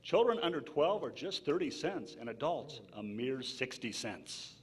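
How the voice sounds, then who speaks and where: A man's voice: medium pitch, sing-song, unhurried, husky. A man playing an enthusiastic, folksy 1940s local radio announcer in a promotional style. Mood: upbeat promotional.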